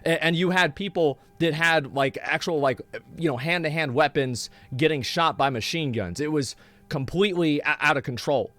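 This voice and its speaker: A man streaming live talks in a loose, rambling way, swearing freely and restarting his sentences as he goes. His voice sits somewhat high for a man, with faint music playing underneath. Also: normal speed, fairly bright tone. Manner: rambling and profane, many restarts. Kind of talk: casual live-stream chat while gaming.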